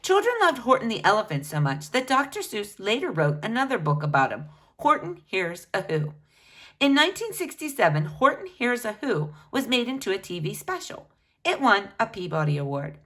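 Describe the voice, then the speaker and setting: A woman reading a biography aloud for children in an expressive, teacherly way. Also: medium pitch, normal speed. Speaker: children's book reader.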